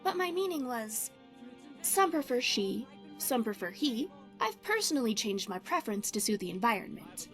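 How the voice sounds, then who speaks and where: A woman playing a poised, secretive spy devoted to her goddess in a video game, speaking in formal, measured phrases. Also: on the high side, sing-song, soft background music. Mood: wry, courteous. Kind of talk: scripted video game character dialogue.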